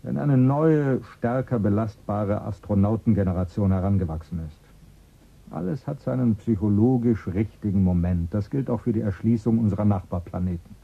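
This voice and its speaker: An actor playing a calculating male politician with a husky voice in a radio play, speaking in a measured, persuasive way. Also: lowish voice. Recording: phone-like thin sound.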